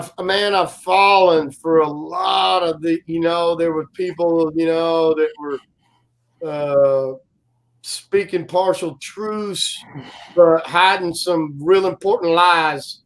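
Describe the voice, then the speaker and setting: Guy in his 40s, loud voice that carries, high pitch, rambles slow with lots of uh and you know. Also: fairly even intonation, rough recording. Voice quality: loud, carrying voice. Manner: rambling storyteller, many fillers and restarts. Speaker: guest co-host. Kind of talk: casual live-stream chat.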